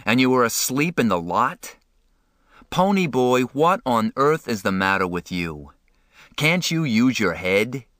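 A man reading an audiobook, voicing a harsh, exasperated older brother who scolds and shouts. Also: on the low side, lively intonation, slow pace, clean tone, no echo, clean studio sound, exaggerated voice. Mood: angry, exasperated.

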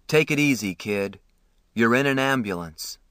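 A man voicing a calm, kind adult stranger reassuring a hurt teenager in a story reading. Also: mid-range voice, moderate pace, dry recording, studio quality, lightly acted.

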